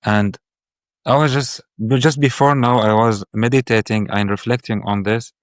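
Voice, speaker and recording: A man whose first language is Arabic hosts the podcast in English, his voice on the low side and recorded dry and close. He thinks aloud, pausing and circling back over words as he shapes his questions. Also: steady tone, clean tone, dry recording. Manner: thoughtful and searching, with pauses and repeated words. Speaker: podcast host. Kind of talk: reflective interview questions.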